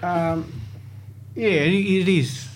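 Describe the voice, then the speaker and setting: A woman's voice: on the low side, very slow, a little hiss or hum. A woman chatting on a radio show, speaking hesitantly with many fillers and restarts. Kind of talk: casual radio chat.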